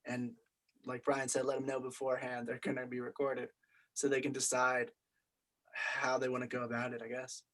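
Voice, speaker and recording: A man's voice: mid-range voice, completely flat delivery, moderate pace, a bit bright. A man casually leading a group discussion as its facilitator, putting questions to the others. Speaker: meeting facilitator.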